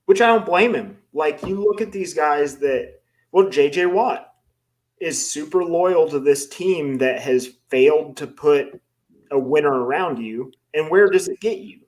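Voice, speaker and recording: Man, sports co-host, skeptical, measured delivery. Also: on the high side, poor audio. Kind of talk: casual sports talk.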